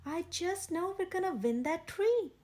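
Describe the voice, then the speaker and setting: Woman voicing a stubborn male bear, storybook, insistent, excited, high voice. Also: unhurried. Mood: confident.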